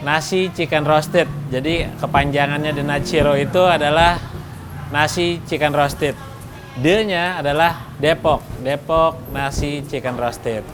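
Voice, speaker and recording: A man speaking as a restaurant co-owner in an interview, explaining things in a measured, halting way. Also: on the high side, background noise. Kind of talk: interview talk, explaining a business.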